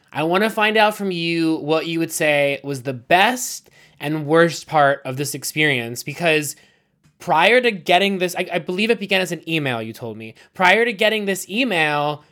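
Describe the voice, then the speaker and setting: A man's voice: sits high, sharp tone. Rambling, chatty podcast host man. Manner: long run-on questions with frequent self-corrections. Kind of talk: casual interview chat.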